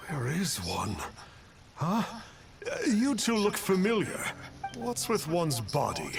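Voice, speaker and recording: A man's voice: high-pitched, wildly swooping pitch, takes it slowly, gravelly, full character voice. A male actor playing a pompous, cowardly fraud who poses as an immortal, haughty and theatrical, in an anime game. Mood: pompous, puzzled. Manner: haughty, calls himself "one". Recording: loud game music behind.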